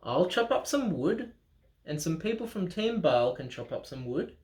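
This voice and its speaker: A man's voice: fairly high pitch, a little mellow. A man voicing a bold prophet who challenges and teases a crowd. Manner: challenging, mocking. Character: bold male prophet, ordinary man, teasing. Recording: roomy echo.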